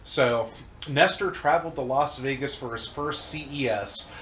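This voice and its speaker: A man's voice: mid-range voice, normal speed, a bit bright. Congested man, rambling casual chat, echoey room, faint hiss. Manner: rambling, many fillers and restarts. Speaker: co-host reviewing old magazines.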